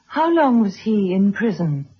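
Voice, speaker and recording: Husky, timid lady. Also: mid-range voice, very animated, measured pace. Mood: sympathetic. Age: middle-aged. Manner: polite, soft-spoken, hesitant. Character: gentle, anxious, timid middle-aged titled lady. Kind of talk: 1970s radio drama acting. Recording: dull old radio recording.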